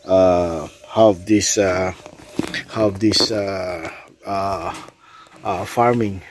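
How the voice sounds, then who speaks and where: Middle-aged male vlogger, Bisaya Filipino accent, lowish pitch, very slow and hesitant delivery, explaining casually outdoors. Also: darkish tone. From Philippines. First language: Bisaya (Cebuano). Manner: halting, hesitant non-fluent English. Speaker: swiftlet farmer and vlogger. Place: outdoors under a small tin-roofed bamboo shelter.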